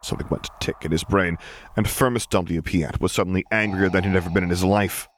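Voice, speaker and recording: A man reading a story aloud as an expressive audiobook narrator. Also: sits low, fairly quick, slight background noise.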